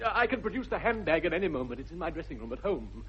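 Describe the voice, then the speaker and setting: An actor playing an earnest, flustered young gentleman suitor with an upper-class English accent, polite and formal, in a 1960s British radio comedy. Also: a little high, big pitch swings, fast. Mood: earnest pleading. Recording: dull vintage radio recording.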